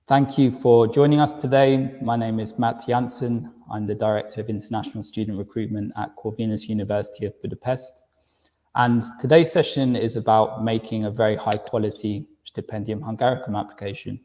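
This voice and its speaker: A man's voice: lowish voice, fairly even intonation, fairly quick, mellow. London man presenting in a reverberant room. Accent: London English. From United Kingdom. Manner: friendly, repeats words as he restarts. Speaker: university recruitment director presenting a webinar. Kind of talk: webinar presentation.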